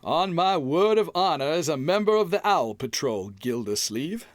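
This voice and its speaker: A man's voice: a little high, animated, moderate pace, dry recording, natural voice. A man playing a grumpy, exasperated homeowner with a folksy, exaggerated delivery in old-time radio comedy style. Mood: weary exasperation. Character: grumpy homeowner tidying his cluttered closet, testy.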